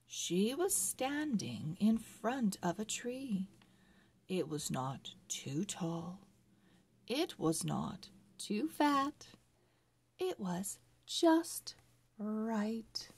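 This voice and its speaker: A woman narrates a children's storybook for early readers at a very slow, deliberate pace. Her voice is husky, and her pitch moves in lively swings as she places each word. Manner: deliberate, word-by-word read-aloud phrasing.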